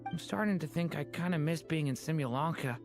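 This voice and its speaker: Earnest, lowish-voiced young boy. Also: fairly quick. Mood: wistful. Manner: earnest, wistful, plain-spoken. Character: earnest, wistful young boy dragon, innocent game character. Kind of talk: video game drama acting. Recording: loud music behind the voice. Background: music.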